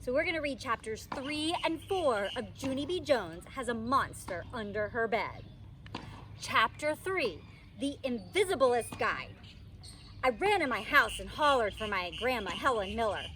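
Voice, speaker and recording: A woman narrates a children's story in teacherly fashion. Her high voice moves up and down a lot, and there is clear noise around her outdoors. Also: expressive, a little slow, sharp tone. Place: outdoors in a park. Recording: noisy outdoor recording.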